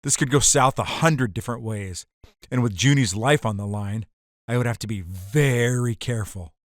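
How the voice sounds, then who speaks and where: A man's voice: medium pitch, moderate pace, no echo, studio quality, natural voice. Man acting a terse, wry hardboiled noir gangster. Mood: wary, resolved. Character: hardboiled male ex-bootlegger narrating his own caper. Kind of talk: noir audio drama narration.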